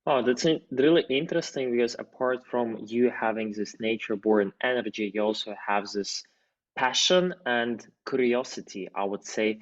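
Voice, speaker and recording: A man hosting an interview in a conversational style, asking long, wordy questions in a halting way over dull, lo-fi audio. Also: on the low side. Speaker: interview host.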